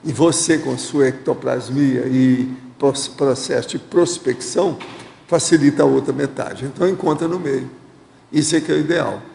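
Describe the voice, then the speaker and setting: Gravelly Brazilian man in an echoey hall. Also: mid-range voice, steady tone, normal speed, warm tone, slight background noise. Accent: Brazilian Portuguese. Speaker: host reading viewer questions. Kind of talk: studio Q&A, answering questions.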